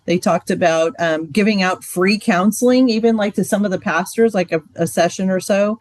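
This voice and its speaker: Middle-aged lady chatting thru a ministry training, mid pitch, dry sound, lots of ums. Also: normal speed, a little mellow, no echo. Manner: chatty, loose, frequent fillers. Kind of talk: casual training talk.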